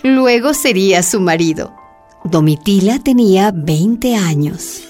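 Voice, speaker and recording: A woman's voice: mid-range voice, lively intonation, slow, darkish tone. A woman reading scripted narration for a radio drama, with music behind her.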